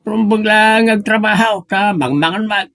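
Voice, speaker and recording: A man playing a cranky, blustering old village father with an Ilocano accent in a comedic audio drama, husky and argumentative. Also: high-pitched, moderate pace, fairly bright tone, dry recording, studio quality. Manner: argumentative, exclamatory.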